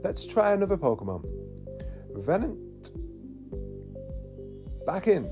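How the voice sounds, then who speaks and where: A knowledgeable, excitable boy, voiced by a male narrator in a storybook. He speaks in a raised, lively pitch with a mellow tone, over faint music. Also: slow pace, exaggerated voice. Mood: explaining. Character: helpful boy Pokémon expert, knowledgeable and excitable.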